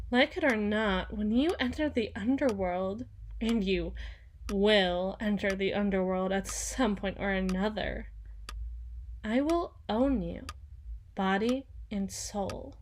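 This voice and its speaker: Woman acting a slow, possessive female demon. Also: medium pitch, a little hiss or hum, close to an everyday voice. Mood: sly, formal. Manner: slow, formal, deliberate, theatrical phrasing. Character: cool, possessive female demon proposing a marriage contract. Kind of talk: ASMR roleplay, scripted dramatic monologue.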